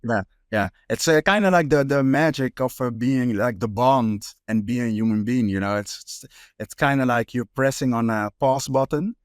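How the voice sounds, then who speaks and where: A man's voice: medium pitch, normal speed, no echo, clean studio sound. A young man from the Netherlands, a street photographer talking casually as a guest, with many fillers and restarts. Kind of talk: casual interview chat.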